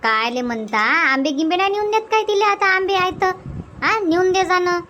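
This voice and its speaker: Middle-aged farm mother acted by a woman, cartoon comedy: high-pitched, nagging yet caring, homely rural Vidarbha Marathi accent. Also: a little fast, bright, forceful tone, a little hiss or hum. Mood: nagging, insistent.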